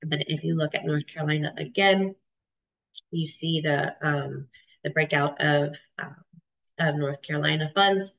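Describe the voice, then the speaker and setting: A woman in her thirties presenting charts on a video call, stuffy from a cold, with halting speech full of ums and restarts. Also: deep voice, steady tone, gravelly, a bit bright. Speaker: webinar presenter. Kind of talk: explaining data charts in a webinar. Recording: dull lo-fi video call audio.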